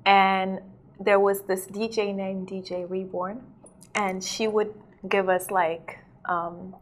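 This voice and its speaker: A woman's voice: medium pitch, flat delivery, takes it slowly. Chatty young woman from south sudan, dj and model, says like a lot, laughs easy. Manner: chatty, laughs often, lots of "like". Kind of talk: casual interview talk.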